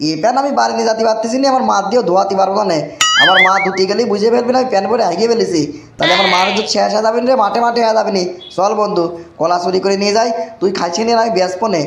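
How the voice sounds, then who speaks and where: A man voicing a brash, excitable young villager in a comic cartoon, blustering and repeating himself in a dialect. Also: high voice, very fast. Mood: grumbling, scheming. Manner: exclamatory, repetitive, blustering. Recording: roomy echo, clearly noisy background.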